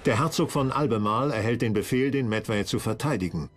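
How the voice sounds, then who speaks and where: A man's voice: mid-range voice, fast talker. Male documentary narrator: scripted history read, mid-range, light music underneath.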